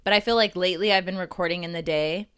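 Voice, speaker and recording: A young woman co-hosting a casual podcast, chatty and loud, swearing freely as she talks with her best friend. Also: on the low side, fast talker, smooth. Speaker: podcast co-host. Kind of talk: casual chat.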